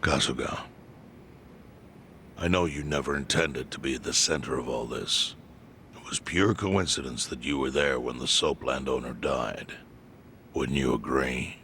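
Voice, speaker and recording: An actor playing a calm, knowing male informant who explains things in a measured way. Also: rumbling low, a little hiss or hum, heavily acted. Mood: grave.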